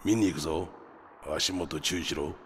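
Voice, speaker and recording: Cavernous, low-voiced underworld boss. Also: male voice, slight background noise. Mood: curt. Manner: commanding, rough, deliberate. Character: imposing older male underworld boss, proud and commanding. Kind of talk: dramatic video game cutscene acting. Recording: long cavernous reverb, music underneath.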